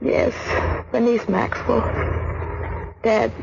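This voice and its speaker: An actress playing a grieving, earnest daughter in a 1940s radio drama, subdued and sorrowful. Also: female voice, on the high side, drawn-out words, creaky, heavily acted. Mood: sad, troubled. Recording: muffled, hissy 1940s radio recording.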